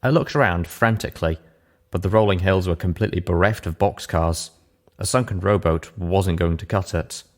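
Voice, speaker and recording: Man, deep voice, story narrator, scripted reading, first person. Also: moderate pace. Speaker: narrator, audiobook reader.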